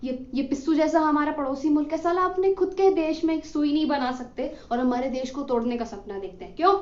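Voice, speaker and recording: A muffled, echoey room recording of a very high voice: a woman playing a condemned revolutionary man in a stage monologue. He is bitter and scornful, declaiming accusations and sarcastic commands at an apathetic crowd. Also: brisk, a little mellow, lightly acted. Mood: angry. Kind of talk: theatrical dramatic monologue.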